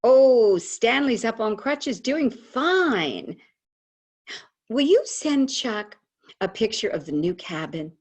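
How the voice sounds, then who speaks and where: A woman playing an older advice columnist, tender and frank, in a husky-voiced staged monologue over a video call. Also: fairly high pitch, animated, slow pace, a little mellow, heavily acted. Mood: tender, earnest.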